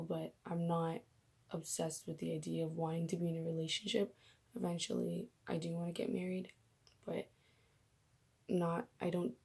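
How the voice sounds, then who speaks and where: Young woman vlogger, raspy and husky from a coming cold, mellow dark tone, casual rambling talk. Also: on the low side. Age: young adult. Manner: chatty, rambling, laughs at herself. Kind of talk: casual faith vlog. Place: bedroom.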